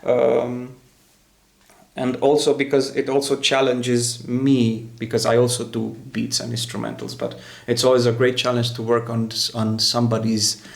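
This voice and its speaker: A man hosting and explaining music production in casual talk, halting as he searches for words. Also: medium pitch, flat delivery, creaky.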